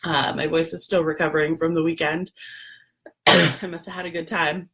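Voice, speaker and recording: A woman, hoarse and gravelly, chatting casually on a live video with lots of ums and throat clearing. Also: sits low, level tone, moderate pace, a bit bright, slight background noise. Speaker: doula and lactation educator, livestream host.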